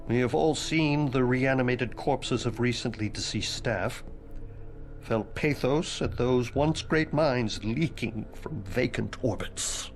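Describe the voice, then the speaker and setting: Creepy deadpan ghost headmaster, dry and formal, middle pitch, music behind. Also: male voice, takes it slowly. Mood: wry. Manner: dry, formal, morbidly deadpan, drops into whispers. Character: ghostly dead male headmaster, dry, macabre, aloof. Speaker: dead former headmaster. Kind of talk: video game cutscene dialogue.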